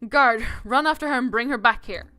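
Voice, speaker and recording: A woman's voice: on the high side, a little fast, pushed and bright, clear, resonant tone, no room sound. A woman voicing a stern, officious city guard in a visual novel game. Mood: commanding.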